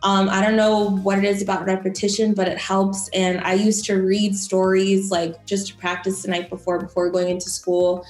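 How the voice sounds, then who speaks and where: A woman's voice: medium pitch, flat delivery, moderate pace, hardly any pauses, darkish tone. Chatty young woman, echoey room. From Florida. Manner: chatty, encouraging, many fillers. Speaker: broadcast journalist and news anchor. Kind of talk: casual interview advice. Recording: roomy echo, faint music.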